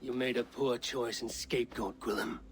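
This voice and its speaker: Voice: medium pitch, steady tone, normal speed, slight background noise, close to an everyday voice. A man in a video game playing a blunt, commanding Viking warrior with a stern, scornful manner. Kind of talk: video game cutscene acting.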